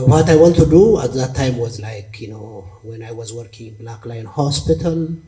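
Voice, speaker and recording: Brief: Ethiopian-accented man, mid pitch, earnest talk, mic a bit far off. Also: darkish tone. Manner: earnest, hesitant with filler sounds. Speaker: panel speaker. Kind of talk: conference talk.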